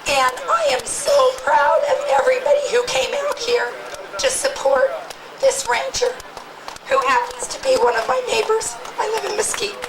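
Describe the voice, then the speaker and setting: A woman's voice: very high voice, sing-song, normal speed, creaky, distant mic, over a noisy crowd, poor audio. A woman with laryngitis speaking passionately at a rally as a local resident. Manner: passionate, indignant.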